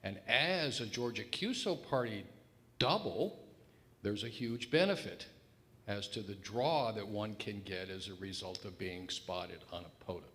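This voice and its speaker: A man's voice: lively intonation, a little slow, a bit bright. A man speaking informally from the audience, asking questions and commenting at a meeting. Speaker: audience member in discussion. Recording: echoing hall, noisy with low rumble.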